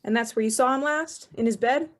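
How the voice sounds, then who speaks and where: High-voiced, probing police inspector played by a woman. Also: fairly quick, close to an everyday voice. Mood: formal, probing. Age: young adult. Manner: clipped, methodical questioning. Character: probing, formal, suspicious male police inspector. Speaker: student actor. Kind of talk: radio play acting.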